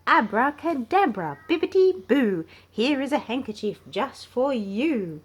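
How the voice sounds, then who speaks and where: A woman's voice: sits high, very animated, a little slow, slightly rough, voice pushed up, heavily acted. A woman voicing a cheerful, eager young male entertainer from a storybook, bouncy and theatrical. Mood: showy, playful.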